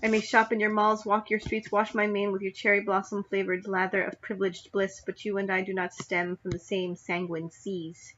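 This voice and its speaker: A young woman reciting spoken word poetry in a measured, deliberate way. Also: medium pitch, level tone, fairly bright tone, a little hiss or hum. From United States. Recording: dull lo-fi recording.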